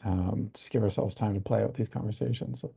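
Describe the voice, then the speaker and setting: A man's voice: lowish voice, fairly even intonation, a little fast, gravelly, dark tone, slightly rough. A man moderating an online academic seminar, speaking thoughtfully with many fillers and restarts over a video call.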